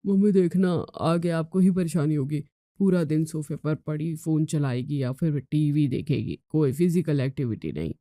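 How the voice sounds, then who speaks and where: A woman's voice: low-pitched, moderate pace, dark tone, no echo, studio quality, lightly acted. A woman voicing an exasperated young man, a scolding elder brother in a moral story, with a stern, nagging, sarcastic manner.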